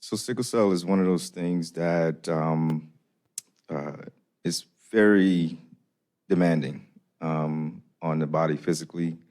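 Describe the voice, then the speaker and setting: Deep monotone guy in his 30s, community advocate, lots of ums, thoughtful. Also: slow. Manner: thoughtful, hesitant with many ums. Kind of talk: panel discussion.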